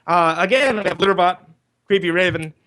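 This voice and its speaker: Male livestream host, slightly high voice, casual and rambling, restarts sentences, talking on a group voice call. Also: a little fast, fairly bright tone. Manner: rambling, repeats and restarts phrases. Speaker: livestream host organizing a cast.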